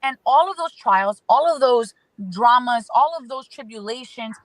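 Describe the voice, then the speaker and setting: A woman's voice: a little high, animated, moderate pace, a little hiss or hum, low-quality recording. A woman appearing as an astrology guest, giving spiritual advice in an emphatic way that stacks phrases into lists.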